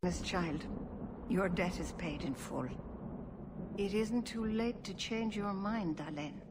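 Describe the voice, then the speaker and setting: An actress playing a solemn elven clan elder in a video game, speaking gravely. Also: female voice, mid-range voice, takes it slowly, close to an everyday voice. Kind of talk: video game cutscene acting. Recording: noisy ambience behind voice.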